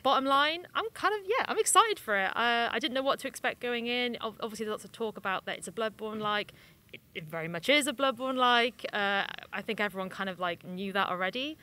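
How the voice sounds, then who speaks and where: A young woman talks casually as a games journalist, her voice bright and on the high side. She speaks in loose, informal, slangy phrases, as if sharing impressions with a colleague. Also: animated, quick pace. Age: young adult. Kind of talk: casual conversational game impressions. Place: small indoor room at a games convention.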